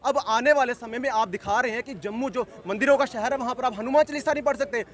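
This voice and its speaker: A man's voice: very high voice, fast. A man giving an insistent street interview, repeating phrases as he argues a political point. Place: outdoors at a street protest.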